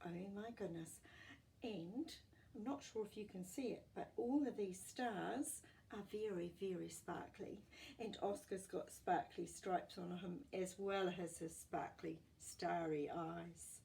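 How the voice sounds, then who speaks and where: A woman reading a picture book aloud to children, expressive and exclamatory, with chatty asides to her young listeners. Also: on the low side, slow pace, creaky, recorded from a distance, poor audio. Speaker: children's storyteller.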